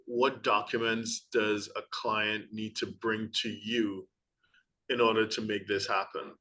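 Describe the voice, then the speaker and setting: A man's voice: fairly low pitch, flat delivery, unhurried, sharp tone. A man hosting an interview, asking polite, conversational questions. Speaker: podcast host.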